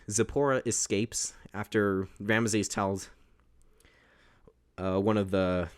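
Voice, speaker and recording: A young man with a baritone voice casually recapping a film, rambling and correcting himself as he goes. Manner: rambling, self-correcting, with restarts. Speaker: host.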